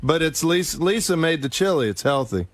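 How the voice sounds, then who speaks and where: A man's voice: a little high, animated, fairly quick, no echo. An American man in casual radio chat, answering questions in a sheepishly defensive but unabashed way. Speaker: show guest being questioned about his drinking.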